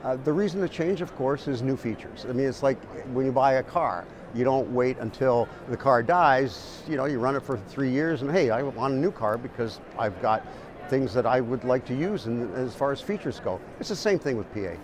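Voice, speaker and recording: A man's voice: medium pitch, expressive, normal speed, crowd noise. A man giving explanatory interview answers as an industry expert, often repeating words as he goes.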